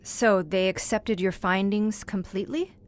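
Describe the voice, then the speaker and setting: A female actor plays an earnest woman drawing out a dark tale in an audio horror drama. Her voice sits at an average pitch. She sounds questioning, absorbed and a little appalled. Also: fairly even intonation, unhurried, natural voice. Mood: curious. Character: earnest, inquisitive female listener, appalled yet fascinated.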